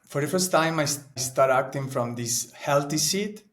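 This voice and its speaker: A man's voice: a little high, moderate pace. A man in his thirties from Italy, speaking English with an Italian accent as an interview guest, earnest and reflective, often restarting his sentences. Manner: earnest, searching for words, frequent restarts and "no?" tags. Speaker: guest, actor and painter.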